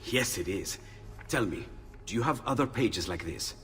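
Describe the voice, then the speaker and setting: An actor playing a friendly, excitable Renaissance inventor in a video game, flustered and animated, with an Italian accent. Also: male voice, on the low side, normal speed, in a large hall, slight background noise. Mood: playful. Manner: animated, flustered, muttering to himself. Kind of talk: video game cutscene acting.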